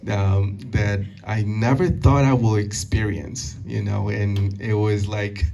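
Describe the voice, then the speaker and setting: A man in his thirties from Peru, answering audience questions at a community talk, halting and full of fillers and restarts. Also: lowish voice, fairly even intonation, creaky, dark tone, distant mic. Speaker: guest speaker. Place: meeting hall.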